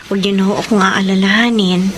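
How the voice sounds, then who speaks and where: A woman's voice: medium pitch, steady tone, quick pace, very noisy, close to an everyday voice. A woman playing a protective, steadfast eldest daughter in an emotional Filipino radio drama. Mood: gently reassuring. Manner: emotional, urgent, consoling.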